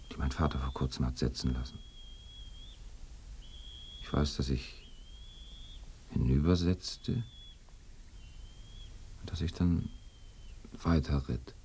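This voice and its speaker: An actor voicing the ghost of a lost young horseman in a radio drama, husky, halting and bewildered, drifting into breathy near-whispers. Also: darkish tone, slight background noise, heavily acted. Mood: dazed, haunted. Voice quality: husky, very low. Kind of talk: binaural radio drama acting.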